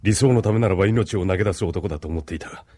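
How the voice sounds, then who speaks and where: A man's voice: sits low, very fast, mellow, no echo, studio quality. An actor playing a stern, proud male military colonel in an anime audio drama. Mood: cold, composed.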